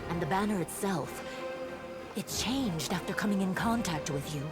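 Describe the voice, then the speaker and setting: An actress playing an earnest, dutiful female paladin officer in a fantasy game, speaking in a formal, measured reporting manner. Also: lowish voice, lively intonation, lightly acted. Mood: troubled, resolute. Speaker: game character reporting to a commander. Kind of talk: voice-acted fantasy game dialogue. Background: music.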